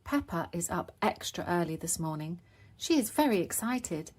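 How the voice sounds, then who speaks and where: A woman reading picture-book stories aloud for young children in an expressive narrating style. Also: on the low side, moderate pace. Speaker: storybook reader.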